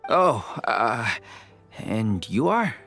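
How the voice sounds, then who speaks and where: An actor voicing a good-natured, teasing war veteran in a video game. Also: male voice, medium pitch, big pitch swings, drawn-out words, gravelly, a bit bright, faint music behind, full character voice. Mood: casual, explaining.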